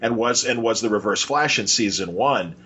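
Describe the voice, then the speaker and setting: A man's voice: fairly low pitch, moderate pace, sharp tone, slight background noise. A man hosting a podcast, chatting casually and enthusiastically in an informal, rambling way. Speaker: podcast host.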